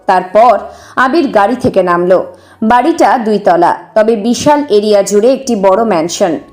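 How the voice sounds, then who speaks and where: A woman's voice: fairly high pitch, darkish tone. A woman giving scripted narration for a dramatised audio story.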